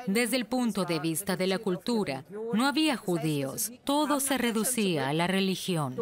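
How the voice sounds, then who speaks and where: A woman voicing a documentary interviewee's recollections in a measured, explanatory, conversational way. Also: mid-range voice, very animated, a crowd in the background, close to an everyday voice. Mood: measured, reflective. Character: woman interviewee recalling her childhood, documentary dub.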